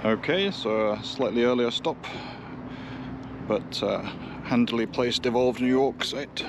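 A man's voice: medium pitch, measured pace, noisy background. A man giving casual running commentary on an electric car road trip, thinking aloud with fillers, recorded by camera in and around his car at a parking lot.

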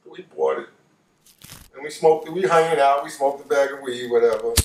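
A man in his late fifties with a New York accent, telling a personal story casually in an animated way, repeating phrases for emphasis. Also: medium pitch, a little fast. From New York, United States. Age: late 50s. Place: living room, seated on a couch. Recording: roomy, voice distant from microphone.

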